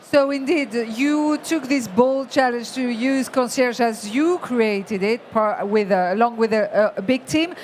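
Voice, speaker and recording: A woman in her forties hosts from a stage in a big expo hall, her voice high and bright in pitch. She rouses the audience with lively energy. Faint crowd noise hums behind her. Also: a little slow, few pauses. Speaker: stage host. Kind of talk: event hosting.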